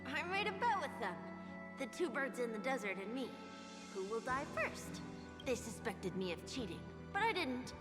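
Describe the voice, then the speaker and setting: A woman's voice: high voice, very animated, moderate pace. A woman voicing a clever, defiant young boy in a video game, a devoted little brother. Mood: proud, eager. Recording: long reverb, loud music behind.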